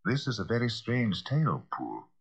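A man acting a concerned, high-pitched gentleman lawyer. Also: wildly swooping pitch, normal speed, husky, heavily acted. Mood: puzzled, reasoning. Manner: formal, questioning, authoritative. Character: concerned, reasonable gentleman lawyer, brisk and proper. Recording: slightly dull, lo-fi audio.

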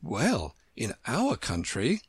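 A man's voice: medium pitch, wildly swooping pitch, slow, husky, no echo, full character voice. A man voicing a polite, puzzled young girl in a storybook, earnest and a little hesitant as she mildly protests. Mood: surprised.

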